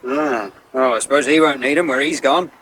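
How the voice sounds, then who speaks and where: An actor playing a wry, nagging young village darts captain in a radio soap. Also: male voice, medium pitch, fairly quick, fairly bright tone, close to an everyday voice. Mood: dry, teasing. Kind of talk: 1980s radio soap acting. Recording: hissy 1980s radio broadcast recording.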